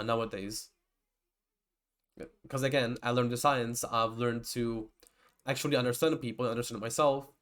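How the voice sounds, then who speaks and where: A young man, a native Georgian speaker, giving a rambling, casual lecture with many restarts and digressions. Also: mid-range voice. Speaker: typology lecturer.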